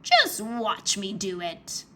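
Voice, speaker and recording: A woman voicing an evil male wizard with a slow, menacing, scornful delivery in a storybook reading. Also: medium pitch, huge pitch swings, a bit bright, slight background noise, heavily acted.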